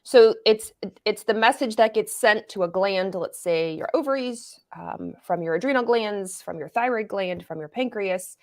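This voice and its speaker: Female guest expert, 40s, lively explainer, mid pitch. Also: normal speed. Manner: animated, explains with casual analogies. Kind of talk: interview answers.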